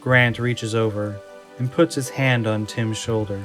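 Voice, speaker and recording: Slow, measured male narrator. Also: fairly low pitch, fairly even intonation. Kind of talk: scripted screenplay narration. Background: soft music.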